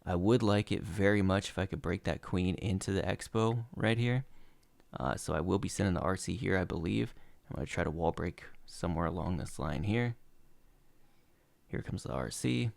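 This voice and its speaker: A young man walks through his game replays with relaxed play-by-play, his low voice gravelly and frayed as he loses it. The sound is dry and close, with no room around him. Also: normal speed, dry recording. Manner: relaxed play-by-play with frequent uh fillers. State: losing his voice. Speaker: gaming channel host. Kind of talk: commentating over gameplay replays.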